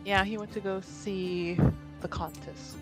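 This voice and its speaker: Giggly lady chatting during a ttrpg, slow talker, casual, bit of music behind her. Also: mid-range voice, little pitch movement, low-quality recording. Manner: giggly, informal. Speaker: player in a tabletop roleplaying game. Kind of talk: casual game chat with friends.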